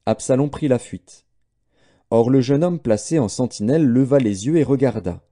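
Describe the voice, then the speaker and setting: A man reading scripture aloud as scripted audiobook narration, with a dark, mellow tone. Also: medium pitch, lively intonation, normal speed. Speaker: audiobook Bible reader.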